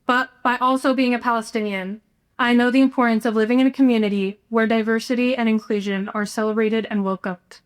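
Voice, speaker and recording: Higher-voiced young American woman giving a speech. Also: steady tone, fairly quick. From Houston, Texas, United States. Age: young adult. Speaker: student speaker. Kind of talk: prepared speech.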